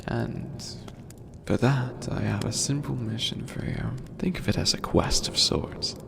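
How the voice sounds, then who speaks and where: A man voicing an ancient skeletal demon overlord in an ASMR roleplay, calm, regal and formal, with a gravelly, breathy voice that often sinks to a whisper. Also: on the low side. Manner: slow, deliberate, lofty and formal. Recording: long cavernous reverb, noisy ambient bed.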